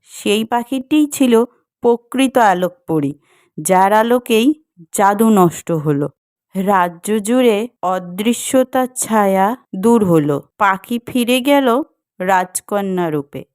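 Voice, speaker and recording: A woman's voice: mid-range voice, animated, takes it slowly, darkish tone, no echo, clean studio sound. A woman reading scripted fairy-tale narration as the storyteller of a cartoon. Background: music.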